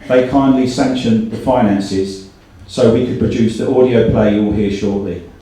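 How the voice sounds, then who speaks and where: Male event host, deep and soft-toned, earnest, slightly hesitant live speech; very reverberant room. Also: moderate pace. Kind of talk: live introduction speech at a book launch. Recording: echoey room, distant mic, faint noise.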